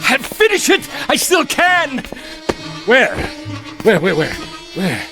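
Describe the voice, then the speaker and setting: Arrogant camp commandant, radio drama, sneering, agitated, slow-paced, gravelly, forceful, music underneath. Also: male voice, very high-pitched, wildly swooping pitch, full character voice. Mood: furious, frantic. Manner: theatrical, sneering, agitated outbursts. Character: arrogant former Nazi camp captain, sneering, unraveling into panic. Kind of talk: classic radio drama acting.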